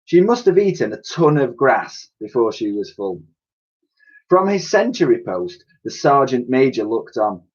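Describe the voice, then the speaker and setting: A man reading a children's story aloud in an animated, expressive storytelling style. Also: mid-range voice, unhurried, dull audio.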